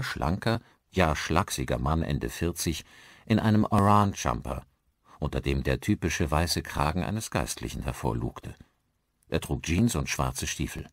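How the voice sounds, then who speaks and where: A man's voice: a bass voice, no echo, clean studio sound. A man reading a mystery horror novel as an audiobook narrator in a scripted narration style.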